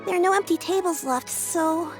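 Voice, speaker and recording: A woman's voice: very high-pitched, moderate pace, over loud music. A woman voicing a cheerful, earnest young girl who looks after her grandmother. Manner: bright, earnest and upbeat. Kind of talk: video game voice acting.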